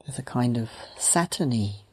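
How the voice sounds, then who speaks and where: A woman meditation teacher leading a guided meditation in gentle, sparse phrases with long pauses between them. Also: a bass voice, animated, slightly rough, low-quality recording.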